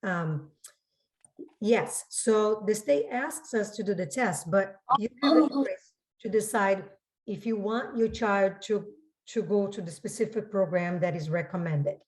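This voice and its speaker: A woman with a mid-range voice speaks as a school registrar fielding questions. Her delivery is informal and explanatory, and she often restates a point to make it clear. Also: medium pitch, a little slow, rough recording. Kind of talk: informal spoken explanation in a public Q&A.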